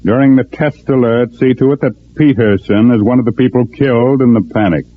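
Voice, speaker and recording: Guy acting as a cold bossy plot ringleader, measured orders, old muffled 1940s radio sound. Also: medium pitch, close to an everyday voice. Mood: cold, commanding. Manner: measured, authoritative, clipped orders. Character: cold, commanding male ringleader of a fifth-column plot. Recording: muffled, narrow-band 1940s radio recording.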